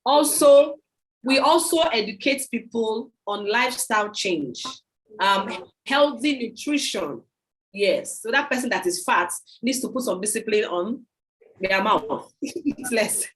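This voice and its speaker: A woman hosting a video call meeting, giving an emphatic, repetitive sales pitch and taking questions. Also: animated, unhurried, slight background noise, poor audio. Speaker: host of a product sales meeting. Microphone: computer mic on a video call.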